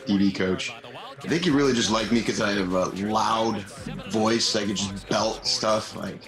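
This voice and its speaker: Low-voiced self-mocking former player. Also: male voice, measured pace, echoey room, music in the background. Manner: self-mocking, laughing easily. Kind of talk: casual reminiscing.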